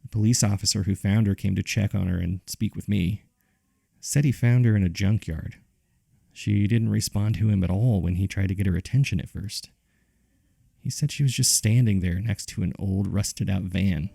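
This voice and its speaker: Deep, gravelly man narrating horror. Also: moderate pace, mellow, dry recording, studio quality. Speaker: host and narrator of a horror audio drama. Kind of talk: scripted narration, reading case files.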